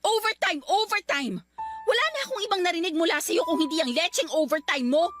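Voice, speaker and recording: A high, animated young mother and wife played by a woman, emotional and expressive in radio drama style. Her pitch swings widely, and music plays softly behind her. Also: brisk. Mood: angry, sarcastic. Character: devoted young mother and resentful, sharp-tongued wife. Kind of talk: Tagalog radio drama acting.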